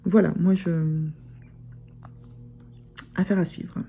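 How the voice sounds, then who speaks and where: A woman's voice: on the low side, fairly even intonation, slow, gravelly, mellow, husky. A woman with a northern French accent chatting casually in a vlog, rambling with many fillers and restarts. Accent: Picard (Ch'ti), northern France. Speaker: sewing vlogger. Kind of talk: casual chat to camera.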